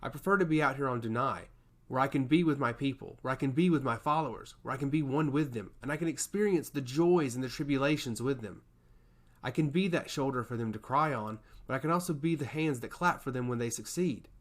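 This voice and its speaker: A man's voice: medium pitch, a little fast, natural voice. A man voicing a passionate god of chaos who loves humanity, speaking in earnest, emphatic monologue in a fantasy story. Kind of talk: fantasy storybook character dialogue.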